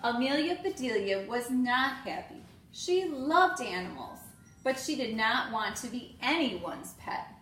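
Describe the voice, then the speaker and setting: A woman reading a children's storybook aloud in an expressive way, addressing young students. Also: on the high side, unhurried. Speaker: school staff member reading aloud to students. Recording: roomy echo, clear background noise.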